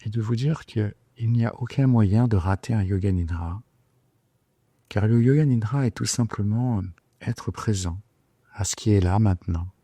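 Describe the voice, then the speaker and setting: Deep, soft, husky man guiding meditation. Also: dry recording. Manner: soothing, unhurried, hesitant with long pauses. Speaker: yoga nidra guide. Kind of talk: guided sleep meditation. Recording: soft guitar music behind the voice. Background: gentle plucked guitar music.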